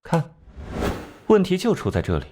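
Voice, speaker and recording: A man playing a sharp, cocky detective in an animated drama, smugly laying out deductions with theatrical rhetorical questions. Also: on the high side, moderate pace, background noise. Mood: incisive.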